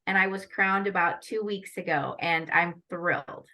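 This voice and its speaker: A woman's voice: on the low side, moderate pace, sharp tone, poor audio. A middle-aged woman from the American Midwest being interviewed, answering in a poised, articulate way and listing her work with enthusiasm. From Northwest Iowa, USA. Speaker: guest, lawyer and pageant titleholder.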